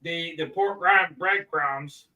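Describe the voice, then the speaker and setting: High-voiced joking male co-host. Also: bright, forceful tone, low-quality recording. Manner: joking, drawn-out anecdotes. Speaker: podcast co-host. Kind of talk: casual podcast chat.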